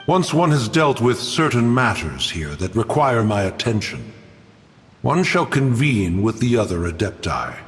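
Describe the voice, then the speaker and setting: A haughty, ancient male guardian spirit from a fantasy game, stern and stately. The delivery is slow and formal with sweeping pitch swings, ringing in long reverb over music. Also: big pitch swings. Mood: solemn. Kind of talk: dramatic game voice acting.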